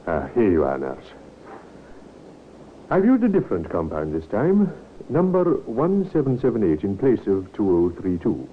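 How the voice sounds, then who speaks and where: An actor playing a reassuring, matter-of-fact doctor with a measured professional manner in an old radio drama. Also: male voice, low voice, heavily acted. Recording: tinny, lightly noisy old radio recording.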